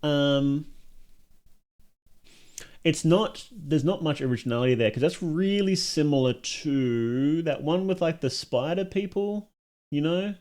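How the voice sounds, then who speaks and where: A man's voice: a little high, measured pace, darkish tone. A man hosting a reaction livestream, talking informally to his chat as he thinks aloud and judges, with some mild swearing. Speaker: livestream host reacting to and judging short films. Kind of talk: casual livestream commentary to chat.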